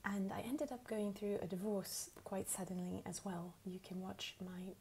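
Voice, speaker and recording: A woman from the United States with a put-on English accent, speaking softly and at times whispering as she unhurriedly answers questions for an ASMR video. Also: mid-range voice, steady tone, moderate pace, slight background noise. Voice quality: soft, partly whispered.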